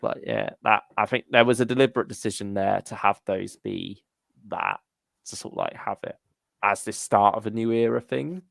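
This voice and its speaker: Male co-host, gravelly and dry-sounding, thinking aloud in relaxed fan discussion, trailing thoughts. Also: fairly low pitch, normal speed, no room sound. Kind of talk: casual fan chat.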